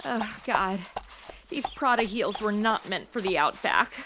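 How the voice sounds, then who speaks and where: A woman's voice: mid-range voice, expressive, a little slow, background noise. An actress playing a spoiled modern city woman stuck in the Old West, sarcastic, blunt and complaining, in a comedy audio drama. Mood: exasperated.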